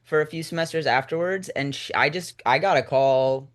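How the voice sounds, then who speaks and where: A man with a medium-pitched voice speaks as a filmmaker guest in a relaxed interview format. His delivery is informal and reflective, with phrases that often trail off as he thinks. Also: moderate pace. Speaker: screenwriter and director guest. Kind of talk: casual conversational interview.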